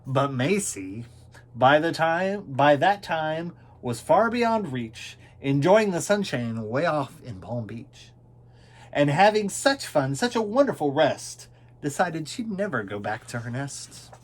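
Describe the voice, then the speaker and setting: A man's voice: fairly high pitch, sing-song, takes it slowly. A man reading a children's story in rhyming verse, with theatrical delivery that dwells on key words. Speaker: storybook reader.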